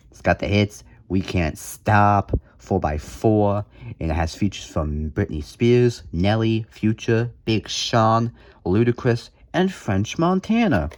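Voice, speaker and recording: A man's voice: on the low side, a little mellow, dry recording. A man hosting a music collection video, talking casually through his items in a playful, jokey way with mock emphasis. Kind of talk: casual show-and-tell vlog commentary.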